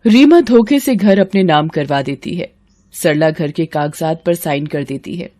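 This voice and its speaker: A woman's voice: sits low, measured pace, warm tone. A woman storyteller reading a scripted moral story as narration.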